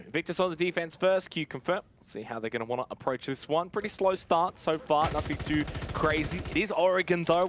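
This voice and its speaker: A man's voice: mid-range voice, a bit bright, a little hiss or hum. A man giving live play-by-play commentary as an esports caster.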